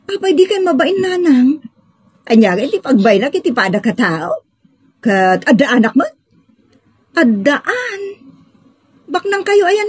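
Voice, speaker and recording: A woman's voice: fairly high pitch, husky, no room sound, full character voice. A woman with a Filipino accent playing an outspoken, exasperated grown daughter in an audio drama, with an emphatic, scolding manner.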